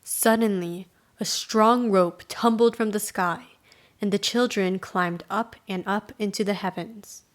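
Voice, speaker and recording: A woman's voice: medium pitch, steady tone, unhurried. A woman with a mid-range voice tells a children's folktale. She delivers it as scripted storybook narration.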